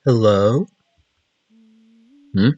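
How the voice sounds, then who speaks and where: A man with a fairly low voice plays through a game, reading its text aloud and commenting casually. He slips in wry asides. The sound is close and dry, with little room in it. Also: very slow, darkish tone, no echo, lo-fi sound. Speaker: gaming channel host.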